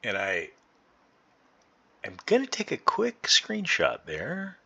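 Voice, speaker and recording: Male gamer for casual playthrough commentary, mid-pitched and very expressive, rambling as he thinks aloud. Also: takes it slowly, gravelly, slight background noise. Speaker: gaming video host. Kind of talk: casual let's play commentary. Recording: music behind the voice. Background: game music.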